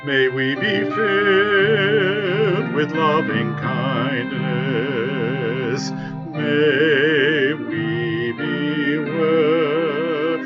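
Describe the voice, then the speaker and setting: Low, very slow singing woman over music. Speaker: singer of a church hymn. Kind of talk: slow sung loving-kindness blessing. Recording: dull lo-fi sound under loud music. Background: musical accompaniment.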